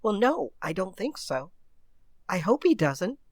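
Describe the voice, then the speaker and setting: An impatient wife from a radio mystery, played by a woman. She questions and scolds with suspicion in a medium-pitched voice, recorded dry. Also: sing-song, a little slow, a little mellow, no room sound. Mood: puzzled, anxious. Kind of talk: old radio mystery acting.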